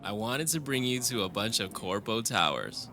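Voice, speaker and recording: A man's voice: lowish voice, animated, normal speed, noisy background. A man playing a tender, wry young lover in an intimate cyberpunk romance audio drama, teasing and a little hesitant. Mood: affectionate, wry. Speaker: audio roleplay voice actor.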